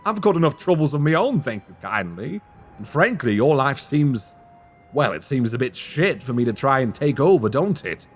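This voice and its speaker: A man's voice: medium pitch, very animated, normal speed, soft background music. A man playing a cheeky, panicky young rogue in a fantasy audio roleplay, rambling in flustered, colloquial banter. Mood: pleading, indignant.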